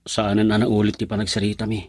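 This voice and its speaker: A man's voice: on the low side, monotone, quick pace, darkish tone, dry recording, clean studio sound, lightly acted. An actor with a Filipino accent playing an earnest, lovelorn young man who speaks respectfully in a radio drama. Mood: respectful, troubled. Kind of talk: Ilocano radio drama acting.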